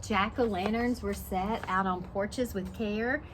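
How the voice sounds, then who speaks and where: A woman's voice: mid-range voice, steady tone, a little slow, background noise. A woman preschool teacher reading a storybook aloud and adding simple explanations and questions for young children.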